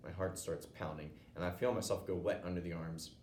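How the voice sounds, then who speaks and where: A man's voice: low voice, fairly even intonation, a little fast, darkish tone. An American man narrating a children's storybook, reading aloud as a teacher would. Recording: roomy echo, noticeable background noise.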